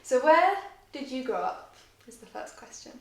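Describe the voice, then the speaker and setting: A young adult woman with a somewhat high voice chats in a friendly, giggly manner as she asks questions. The sound is roomy and echoey. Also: expressive, normal speed, a little hiss or hum. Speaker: interviewer. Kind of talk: casual chat. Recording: echoey room.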